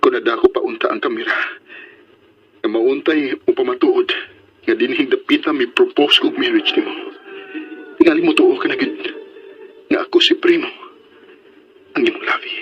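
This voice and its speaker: A man's voice: medium pitch, fairly even intonation, moderate pace, pauses often, slightly rough, lightly acted. An actor playing a lovestruck, pleading man in a melodramatic radio drama. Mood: earnest. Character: lovestruck, pleading man insisting he is her lost sweetheart. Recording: dull radio drama recording, music bed. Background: music.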